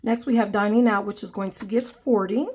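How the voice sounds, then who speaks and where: A woman's voice: mid-range voice, fairly even intonation, a little fast, darkish tone. Nasal young woman with a cold. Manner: friendly, calls viewers "guys", counts money aloud. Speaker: budgeting channel host. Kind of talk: casual chatty vlog narration while counting cash.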